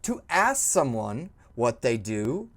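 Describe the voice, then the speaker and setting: A woman teaching an English lesson, speaking slowly and clearly, encouraging and prompting the learner to repeat. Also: rumbling low, sing-song. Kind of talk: slow, clearly spoken language lesson.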